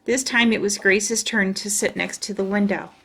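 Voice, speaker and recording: A woman's voice: mid-range voice, steady tone, moderate pace, sharp tone, slight background noise. A woman reading a children's storybook aloud as a storyteller.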